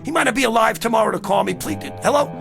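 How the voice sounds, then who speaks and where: A man playing an agitated, insistent man haunted by a dream, in a dramatic production with a gravelly, urgent delivery. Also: very high voice, very fast, music in the background, exaggerated voice. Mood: frantic. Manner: urgent, pleading.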